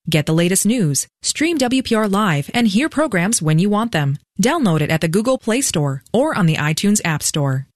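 A woman reading scripted sponsor messages and station ads in an even announcing style. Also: mid-range voice, no room sound, clean studio sound.

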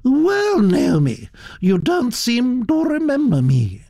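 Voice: mid-range voice, wildly swooping pitch, slow, gravelly, mellow, dry recording, clean studio sound, pitched above the performer's own voice, heavily acted. A narrator voicing a blunt, gossipy elderly duchess in an audiobook, her voice lifted well above the narrator's own. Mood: commanding. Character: imperious elderly aristocratic duchess. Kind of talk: audiobook character voice.

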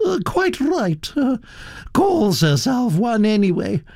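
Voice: mid-range voice, big pitch swings, slow, gravelly, darkish tone, dry recording, clean studio sound, voice pushed up, heavily acted. A narrator playing an imperious, opinionated elderly duchess with a blunt, sputtering, autocratic manner. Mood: scornful gossip. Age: older.

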